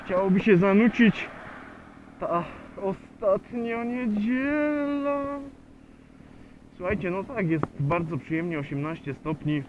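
A man with a high voice talks casually into a helmet mic while he rides a motorcycle. He pauses often and fills the gaps with little hesitations. The sound is tinny and narrow, with faint engine and wind noise underneath. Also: lively intonation. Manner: chatty, hesitant with many fillers. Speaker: motovlogger. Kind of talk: casual riding vlog narration. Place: on a motorcycle riding on a road outdoors.

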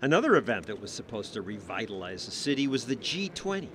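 A man's voice: on the low side, sing-song, quick pace, noisy background. A man reading scripted news narration in a wry, emphatic voice-over. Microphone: studio voice-over.

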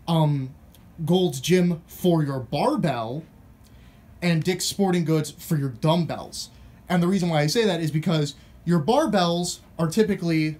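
A man with a fairly high-pitched voice gives a casual product comparison. He speaks informally, like someone explaining gear to viewers from a room at home. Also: darkish tone. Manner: informal, mildly profane. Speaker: fitness product reviewer.

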